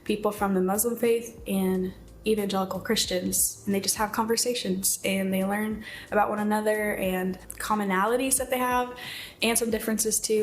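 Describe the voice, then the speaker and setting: A woman's voice: medium pitch, slight background noise. Chatty young woman hosting at home. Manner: bubbly, chatty, many fillers. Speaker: host. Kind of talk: casual chat. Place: living room at home.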